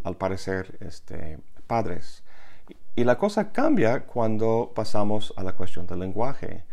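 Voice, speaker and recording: A man whose first language is English, giving a reflective monologue in a halting way, with many pauses and fillers. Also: lowish voice, gravelly, a little mellow. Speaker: vlogger.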